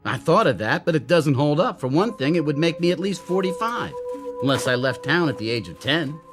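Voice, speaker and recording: Radio drama lead: male actor playing bewildered young man, agitated, very animated pitch, music underneath. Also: a little fast, close to an everyday voice. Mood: baffled, frustrated. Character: bewildered, agitated young man doubting his own sanity.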